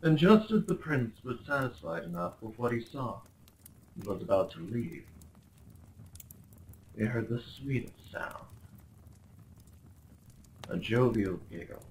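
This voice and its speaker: A man's voice: medium pitch, animated, unhurried, pauses often, darkish tone, a little hiss or hum, lightly acted, low-quality recording. A man playing a smug, possessive crown prince in a roleplay audio drama, speaking with formal, condescending politeness and theatrical flair. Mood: tender, obsessed. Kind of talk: roleplay ASMR acting.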